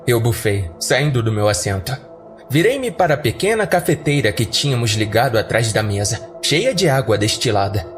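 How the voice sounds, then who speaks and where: Casting: male Brazilian narrator, mid-pitched, scripted horror podcast storytelling over a clear music bed. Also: very animated, normal speed.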